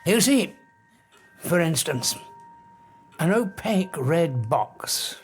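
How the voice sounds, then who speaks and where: An actor playing an obsessive, grandiose scientist in an audiobook drama, arrogant and emphatic, lecturing with dramatic pauses. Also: male voice, a little high, sing-song, soft background music. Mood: intense explaining.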